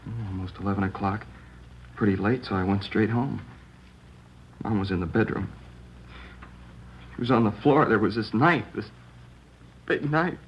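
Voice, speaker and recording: A dull, faintly noisy vintage radio recording carries a man acting a shaken American schoolboy. He speaks low and haltingly, upset and plain-spoken, in crime drama style. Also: lightly acted. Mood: subdued, halting. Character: shaken schoolboy who found his mother killed.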